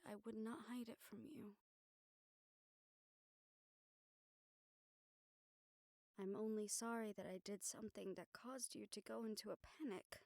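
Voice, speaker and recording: Woman acting composed, gentle noblewoman, close and dry. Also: on the high side, dry recording. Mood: tender, sincere. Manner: measured, gentle, formal phrasing with deliberate pauses. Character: composed young noblewoman soothing her worried dragon bodyguard. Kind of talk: intimate audio roleplay acting.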